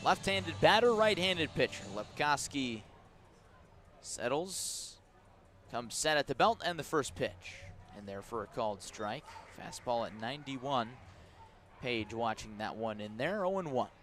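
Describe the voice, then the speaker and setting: Male baseball radio announcer, mid-range voice, measured play-by-play with dry asides, outdoor ballpark setting. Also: medium pitch, expressive, fairly bright tone, a little hiss or hum. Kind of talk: live radio sports commentary.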